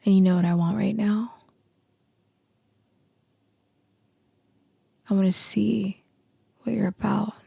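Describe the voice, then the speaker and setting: A woman playing a dominant, seductive boss in an intimate ASMR roleplay, with a deep, husky, breathy voice that often drops into whispers. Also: steady tone, mellow, no room sound. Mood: seductive, coaxing. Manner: slow, deliberate, often dropping into whispers.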